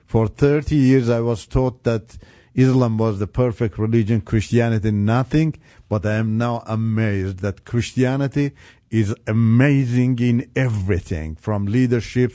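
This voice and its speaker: A middle-aged man from Iran, an English speaker, sharing his story as a radio guest in an earnest, halting way with many restarts. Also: medium pitch, dark tone, no room sound, clean studio sound. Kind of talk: personal testimony on radio. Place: radio studio.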